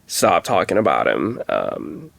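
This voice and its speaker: A man's voice: sits low, wildly swooping pitch, slow pace, creaky, a bit bright, dry recording. A man co-hosting a podcast, chatting casually in a blunt, shrugging way. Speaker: podcast co-host. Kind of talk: casual chat.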